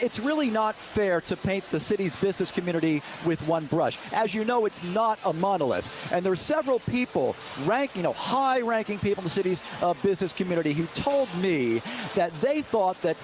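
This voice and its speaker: A man with a notably high voice gives live election night analysis as a news reporter. He explains in an emphatic, measured manner, with clear noise around him on location.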